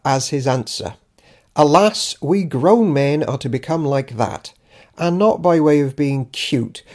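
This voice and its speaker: A man's voice: fairly high pitch, a little mellow. A man reading an audiobook as Judas Iscariot, a self-important, grudging disciple keeping a diary, in a dry, theatrical, pompous manner. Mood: sardonic. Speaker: author reading own audiobook. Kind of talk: dramatic audiobook narration of a diary.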